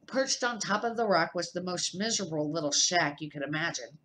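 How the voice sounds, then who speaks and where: A middle-aged woman reading a children's novel aloud as an amateur, slightly halting and correcting her words as she goes. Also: fairly low pitch, animated, a bit bright. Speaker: amateur storybook reader.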